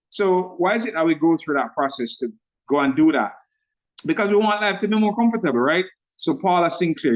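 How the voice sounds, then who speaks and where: A man with a Jamaican accent blended with American and Nigerian tones, presenting a trading system to a group on a video call, talking fast and excitedly. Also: on the high side, lo-fi sound. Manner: excited, speaks fast and runs over words.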